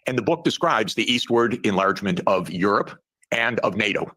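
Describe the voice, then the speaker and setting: Bright-voiced sarcastic male analyst. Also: on the low side, expressive. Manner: deliberate, dryly sarcastic. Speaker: guest analyst. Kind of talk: measured commentary.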